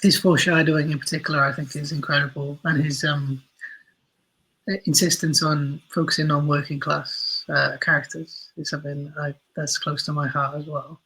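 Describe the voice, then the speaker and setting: A man gives casual, thoughtful interview answers, often circling back on his words. His voice is a little high and husky, with a bright edge and very even intonation. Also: moderate pace, rough recording. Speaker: podcast guest, audio drama writer.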